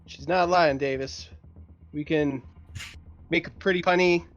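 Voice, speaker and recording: A man's voice: a little high, steady tone, measured pace, fairly bright tone. A man playing a tabletop roleplaying game, casually speaking for his character. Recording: dull lo-fi mic, music behind.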